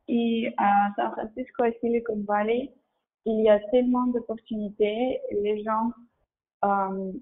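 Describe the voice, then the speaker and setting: A woman's voice: on the high side, creaky, phone-quality sound. A woman in her thirties with a Russian accent answers interview questions in French as a second language, often searching for words. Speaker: interview guest. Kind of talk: casual interview answers about career and work.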